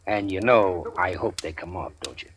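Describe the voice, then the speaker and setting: A man playing a wary middle-aged gangster who was once a preacher, folksy and drawling, with quiet menace, in 1940s radio drama style. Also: low voice, normal speed, a crowd in the background, close to an everyday voice.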